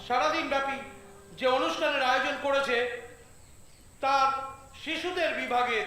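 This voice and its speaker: A man's voice: very high voice, pushed and bright, full character voice. An actor playing a formal male announcer at a small festival contest, addressing and rousing the crowd. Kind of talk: loud formal stage announcement in Bengali. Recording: echoey public-address sound, crowd, faint noise.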